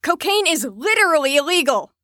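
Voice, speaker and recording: A woman's voice: high-pitched, big pitch swings, brisk, sharp tone, no echo, clean studio sound, exaggerated voice. A woman playing a chipper but firm mental facility staffer in an audio drama, with a perky, authoritative manner. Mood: firm, dismissive.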